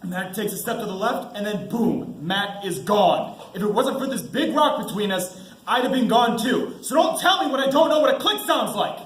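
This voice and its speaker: A teenage boy acting an anxious, halting young soldier in an amateur stage play. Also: high-pitched, lively intonation, fairly bright tone. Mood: agitated, angry. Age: teens. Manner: halting, stammering, nervous. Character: anxious young army sergeant trapped on a mine. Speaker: high school student actor. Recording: roomy, noisy school stage recording.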